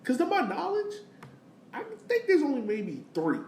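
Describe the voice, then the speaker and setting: A man's voice: very high-pitched, huge pitch swings, normal speed, darkish tone. A man of thirty hosting a podcast, talking sport in a casual, slangy and enthusiastic way. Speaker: podcast host. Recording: roomy, distant mic, faint noise.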